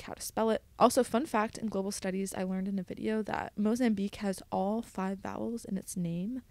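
A young woman tells stories as if on a casual podcast, chatting and explaining as she goes, often slipping in little asides. Her medium-pitched voice sits in a dry, echo-free recording. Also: creaky, a little mellow. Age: young adult. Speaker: podcast host, college student studying at sea. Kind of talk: casual solo podcast storytelling.

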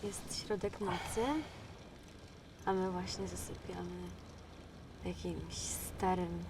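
A woman's voice: lowish voice, flat delivery, takes it slowly, noisy background. An actress playing a playful, teasing young woman in a naturalistic romantic drama, talking casually. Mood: playful, sleepy. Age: young adult. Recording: music behind the voice.

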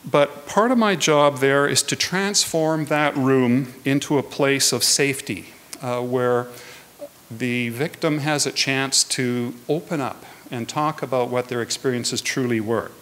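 A man gives a prepared public talk in a measured, earnest way. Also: mid-range voice, a bit bright. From Canada. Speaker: guest speaker, hearing adjudicator. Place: indoors at a lectern in a hall.

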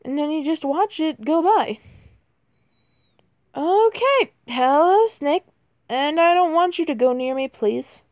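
High-pitched woman gamer rambling slowly over a phone game, voice keeps cracking, lots of bro and like. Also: expressive, a little mellow, dry recording. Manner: chatty, says "bro" and "like" constantly; self-deprecating, easily flustered. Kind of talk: casual mobile gameplay commentary.

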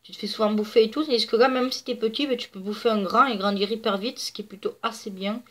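Woman with a cold, kinda nasal, highish voice, chatty game commentary full of ums. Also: fairly even intonation, quick pace. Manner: chatty, rambling, many fillers. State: stuffy nose from a cold. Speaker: gaming video creator. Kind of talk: casual gameplay commentary.

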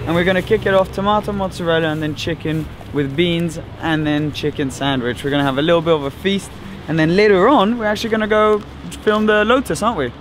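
Young man, vlog host, chatty and upbeat, slightly high voice, echoey living room. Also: fast, soft background music. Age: young adult. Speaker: vlogger host. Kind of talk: casual vlog chat. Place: bright living room of a house.

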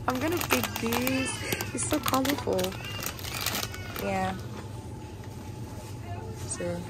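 A woman filming a casual shopping vlog in supermarket aisles on a handheld phone, chatting and reading out prices item by item. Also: lowish voice. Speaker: vlogger. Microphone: handheld phone camera. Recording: noisy supermarket background.

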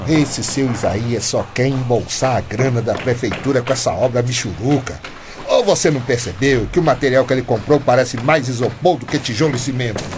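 An actor playing an aggrieved, injured construction worker in a Brazilian radio soap, insistent and colloquial. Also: male voice, medium pitch, a little fast, noisy background, close to an everyday voice. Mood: indignant. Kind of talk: radio soap opera acting.

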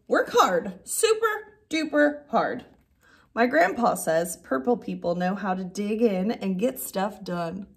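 Female teacher in her thirties for picture-book reading; very animated melody, unhurried, expressive emphasis. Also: on the high side. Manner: expressive, drawn-out emphasis on key words. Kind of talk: animated children's story read-aloud. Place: indoor classroom.

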